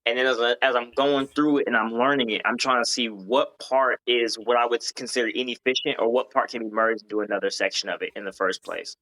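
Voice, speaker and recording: Brief podcast guest, male, from Hawaii, bright tone, earnest and rambling. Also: mid-range voice, fairly even intonation, a little fast, few pauses, rough recording. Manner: earnest, rambling, many fillers and restarts. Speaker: guest, founder of a gaming company. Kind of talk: casual podcast interview.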